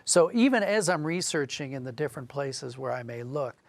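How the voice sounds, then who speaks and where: A man speaking as an interview guest, a writer explaining and telling stories in an animated, conversational way. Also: mid-range voice, fairly quick, creaky, no room sound.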